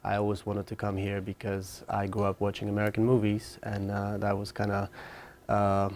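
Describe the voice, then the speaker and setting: Low, gravelly young man. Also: monotone, moderate pace, a little mellow. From Ukraine. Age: young adult. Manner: hesitant, many fillers and restarts. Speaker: student guest. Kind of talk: casual interview talk.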